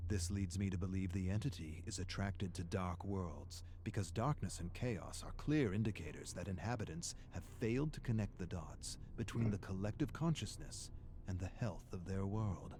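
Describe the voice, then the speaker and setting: An actor playing a weary, scholarly archivist of a dark otherworld, reading journal entries for a game in a measured, brooding way. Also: male voice, fairly low pitch, a little hiss or hum, lightly acted. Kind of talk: scripted dramatic narration, journal entries. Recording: music behind the voice. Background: music.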